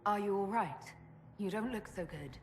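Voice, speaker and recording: A woman's voice: medium pitch, animated, moderate pace, reverberant, big-room sound, noisy background, natural voice. An actress playing a compassionate, resolute female hero in a video game, sincere and reassuring. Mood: concerned. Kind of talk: video game cutscene acting.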